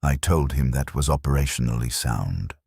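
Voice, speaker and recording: Man, extremely low voice, dry close sound, scripted war story narration, clinical and detached. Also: moderate pace, creaky, a little mellow, slightly rough, no echo. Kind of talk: scripted narration, first-person military science fiction story.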